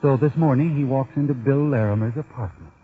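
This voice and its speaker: An actor playing a knowing, taunting storyteller, narrating a 1940s radio mystery in a dramatic, sardonic manner. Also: male voice, medium pitch, a little fast, soft background music, close to an everyday voice. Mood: storytelling. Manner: sardonic, dramatic, addressing the character directly. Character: knowing, taunting male storyteller haunting a crime tale. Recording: thin, phone-like vintage 1940s radio recording.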